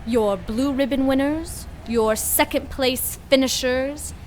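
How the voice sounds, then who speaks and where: A haughty, grandiose woman scientist, played by an actress in a comedic radio play, pitched on the high side. She talks down in wordy, theatrical flourishes, with some noise behind her. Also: slow, a little mellow. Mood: scornful. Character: arrogant woman mad scientist, condescending and grandiose. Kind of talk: comedic audio drama acting.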